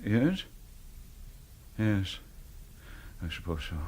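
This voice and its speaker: A man's voice: fairly low pitch, big pitch swings, very slow, background noise, full character voice. An actor playing a faded, anxious older father in a radio drama, hesitant and apologetic. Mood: meek, resigned.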